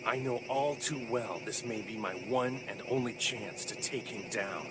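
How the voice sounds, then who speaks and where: An actor voicing a grieving, furious young male martial artist in an anime dub, shifting from shouts to quiet solemn vows. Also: unhurried, heavy background noise, lightly acted. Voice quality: intense, shouting then low and solemn. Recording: score music loud behind.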